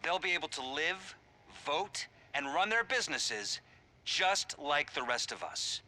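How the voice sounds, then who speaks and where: An actor playing a smug, condescending male politician who talks in a smooth, self-satisfied way. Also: on the high side, big pitch swings, a little slow, pushed and bright, exaggerated voice. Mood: campaigning.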